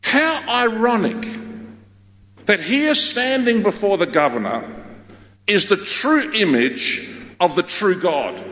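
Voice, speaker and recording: Animated preacher in an echoey hall. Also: male voice, high voice, slow, pauses often. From Australia. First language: Greek. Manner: emphatic preaching with repeated phrases. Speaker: pastor. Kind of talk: sermon. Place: large church hall. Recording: echoey hall, dull sound.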